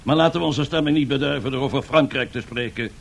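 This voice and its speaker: An actor playing a pompous, boastful Italian fascist dictator in a radio drama, husky and given to grandiose speechmaking. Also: male voice, medium pitch, quick pace. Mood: boastful persuasion. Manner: grandiose, self-satisfied, rhetorical speechmaking. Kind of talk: 1970s radio drama acting.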